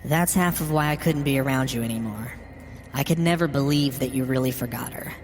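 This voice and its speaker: A man voicing a bitter, grieving old mother in a horror story, wounded and resentful, confessing haltingly. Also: medium pitch, pitched above the performer's own voice, natural voice. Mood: hurt disbelief. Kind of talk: dramatic horror story reading. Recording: long hall reverb. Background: music.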